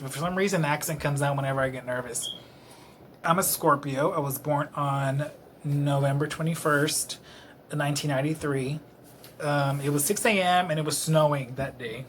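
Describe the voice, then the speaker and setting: Tipsy late-twenties man vlogging at home, bright voice a touch high, rambling with many ums, faint room noise. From Dallas, Texas. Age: late 20s. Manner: self-deprecating, rambling, many fillers. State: a little tipsy. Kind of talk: rambling casual monologue to camera. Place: his own apartment, indoors. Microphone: camera close-up.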